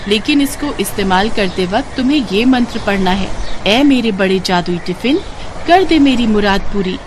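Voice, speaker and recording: A woman voicing a calm, benevolent female wind spirit in a cartoon story, speaking in a measured, instructive way. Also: mid-range voice, pitched below the performer's own voice, natural voice. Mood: instructing.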